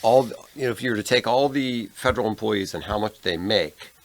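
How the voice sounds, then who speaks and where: A man's voice: lowish voice, a little fast. An American man co-hosting a podcast, explaining and discussing politics in a casual, conversational way. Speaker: podcast co-host.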